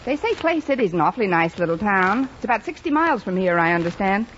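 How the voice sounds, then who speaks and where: A woman's voice: medium pitch, sing-song, quick pace. An actress playing a wry, wisecracking, hopeful woman schoolteacher in an old-time radio sitcom, with a dry, sardonic delivery. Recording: dull vintage radio recording, noisy, loud audience.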